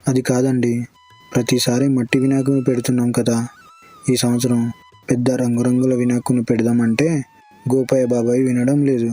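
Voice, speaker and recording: A man's voice: medium pitch, monotone, fairly quick, mellow, faint music behind, lightly acted. A man playing a stubborn, eager young village man in a cartoon story, talking insistently. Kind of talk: Telugu cartoon story dialogue.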